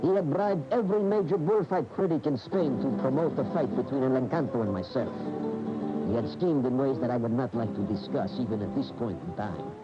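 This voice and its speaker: An actor playing a proud, ambitious matador who recalls the past in reflective radio drama storytelling. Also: male voice, medium pitch, a little fast, close to an everyday voice. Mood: wry, admiring. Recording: dull AM radio, loud music behind.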